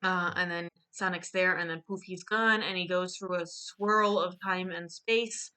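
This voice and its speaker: A woman's voice: lowish voice, fairly even intonation, a little slow, sharp tone, clear, resonant tone. A woman casually presenting her own drawn storyboard in a joking, mock-earnest way, as the illustrator.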